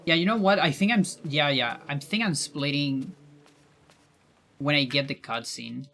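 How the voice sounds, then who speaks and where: Bright-voiced Chilean streamer man. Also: on the high side, normal speed, a little hiss or hum. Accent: Chilean Spanish. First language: Spanish. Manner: chatty, musing aloud, frequent restarts. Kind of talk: casual chat with viewers while gaming.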